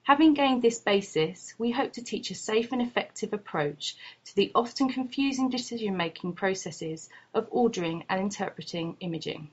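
Woman, husky, formal, articulate, scripted promotional voice-over, slightly dull lo-fi recording. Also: medium pitch, lively intonation, normal speed, a little mellow. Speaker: course lead and doctor. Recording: muffled lo-fi voice-over.

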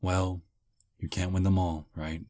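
Male VA playing a charming old vampire on a first date: very low, unhurried, soft and slightly halting, close dry ASMR mic. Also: level tone, no echo. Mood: easygoing. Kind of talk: ASMR roleplay.

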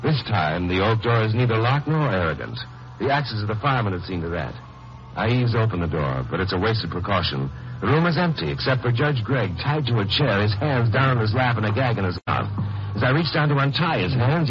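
An actor playing a hard-boiled lawyer in a 1950s radio crime drama, with a terse, dry delivery. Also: male voice, medium pitch. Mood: tense, wry narration. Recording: noisy, muffled vintage radio recording.

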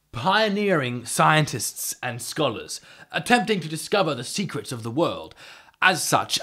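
A male actor as an insectoid leader of animal people in an audio drama. He speaks with formal, pompous polish and a husky rasp, always evasive and defensive. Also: on the low side, fairly bright tone, full character voice. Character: mantis-man leader, wealthy scholar of ancient magic, evasive.

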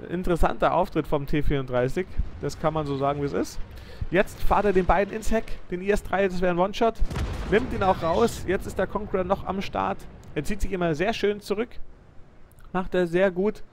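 A young man giving lively, excited play-by-play commentary over a tank game replay, praising the moves as he goes. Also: high voice, brisk, a little hiss or hum.

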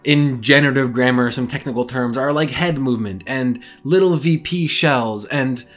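A young man chats straight to his webcam from a small bedroom. He explains ideas casually and stays animated, with his speaking pitch sitting in the middle. Faint music plays under his voice. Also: moderate pace. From English-speaking. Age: young adult. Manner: animated, gestures a lot while explaining. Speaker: language learning vlogger. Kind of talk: casual talking-head vlog.

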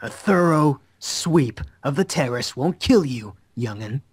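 A man's voice: mid-range voice, expressive, measured pace, breathy edge, dry recording, studio quality. A man voicing an impatient, bossy mage comrade in an amateur anime visual novel dub, curt and dismissive.

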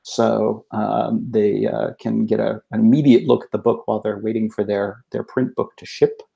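A man giving a casual product update as a podcast guest over a video call, in halting phrases. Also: on the low side, flat delivery, vocal fry, warm tone. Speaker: podcast guest, game publisher representative.

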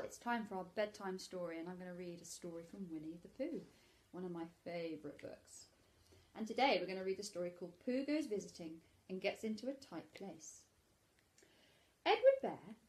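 A middle-aged woman, a teacher, gently reading a bedtime story aloud to young children from her living room on a laptop camera. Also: medium pitch.

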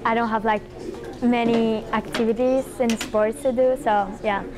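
A woman's voice: fairly high pitch, steady tone, unhurried. A teenage young woman from Spain with a Spanish accent, answering as an exchange student. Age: teens. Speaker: exchange student being interviewed. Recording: busy room chatter behind.

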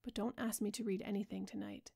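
A woman reading the part of an older male painter, speaking gravely and earnestly as he pleads with his friend. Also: medium pitch, steady tone, fast, mellow. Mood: weary.

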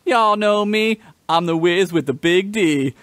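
A man's voice: high voice, measured pace, a bit bright, dry recording, voice pushed up. A man voicing a boastful, crude wizard rapper who swaggers through comic freestyle rhymes in a podcast story.

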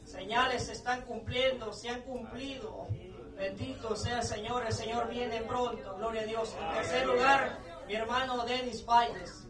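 A fervent male preacher with a very high, strained voice calls out praises in a church sanctuary. He is heard from a distance, with plenty of room echo. The congregation murmurs steadily behind him. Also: steady tone, measured pace, a loud crowd. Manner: shouting praise exclamations. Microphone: handheld mic. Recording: roomy church from far off.

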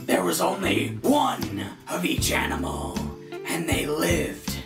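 A man playing an earnest low-budget parody Batman, telling a children's story in a breathy, growling voice with dramatic, halting delivery. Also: wildly swooping pitch, heavily acted. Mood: mock solemn. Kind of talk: comedic story reading in character. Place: small bedroom, indoors. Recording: roomy echo, music underneath.

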